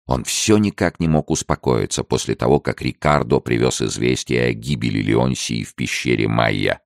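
A man reading a novel aloud as an audiobook, in an expressive, dramatic way. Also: extremely low pitch, moderate pace, vocal fry, no room sound, studio quality. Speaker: audiobook reader. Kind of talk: expressive scripted narration.

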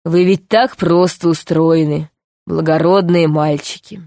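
A woman voicing a calm, mockingly sweet male underground tyrant in a storybook, speaking in a slow, deliberate, commanding way. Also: deep voice, no room sound, clean studio sound. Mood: mock-sweet menace. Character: calm, menacing male underground ruler, mockingly sweet, power-hungry villain.

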